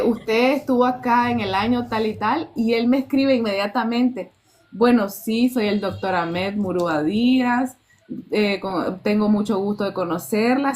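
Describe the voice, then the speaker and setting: A Nicaraguan woman in her thirties, telling a personal testimony in an earnest way and acting out the dialogue she quotes. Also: mid-range voice. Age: 30s. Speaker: guest.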